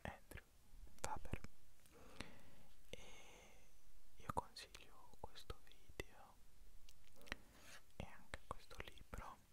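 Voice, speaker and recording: A man hosting ASMR in a gentle, breathy whisper, lingering on words with halting pauses, close to the microphone. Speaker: ASMR channel host. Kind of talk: whispered ASMR, introducing a poetry reading.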